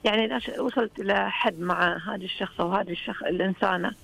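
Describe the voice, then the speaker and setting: Fast-talking gravelly woman from Saihat. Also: mid-range voice, steady tone, fairly bright tone, poor audio. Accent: Saudi, Saihat. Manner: rambling, earnest, frank. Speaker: radio call-in caller. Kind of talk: casual phone-in chat.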